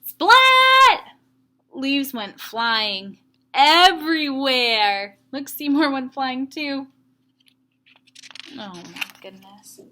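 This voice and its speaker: A woman teacher reading a story aloud to young children, with expressive emphasis and explanatory asides. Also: high voice, very slow, pauses often.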